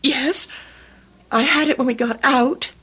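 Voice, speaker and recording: High-voiced, distraught wife. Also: female voice, fairly even intonation, measured pace, a bit bright, husky, heavily acted. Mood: flustered. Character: distraught guilt-ridden young wife, fretful and pleading. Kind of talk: old-time radio drama acting.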